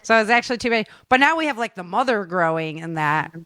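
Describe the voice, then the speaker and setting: A woman hosts a relaxed, casual chat with a mid-range voice. She talks informally, with slangy phrasing and an effusive manner. The recording is dry, with no room sound. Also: medium pitch, fast talker, dry recording. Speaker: host.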